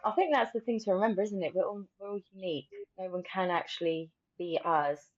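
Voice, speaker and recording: A woman's voice: on the low side, brisk, no echo, dull audio. A woman hosting a casual podcast conversation, speaking in an informal, reflective way. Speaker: podcast host.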